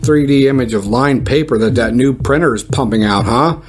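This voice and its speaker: A man's voice: mid-range voice, normal speed, close to an everyday voice. A man playing an obtuse, cocksure radio reporter in a comedy, with a condescending manner. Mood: baffled, insistent. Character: obtuse, smugly confident male radio news reporter. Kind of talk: comedic audio fiction, mock radio news.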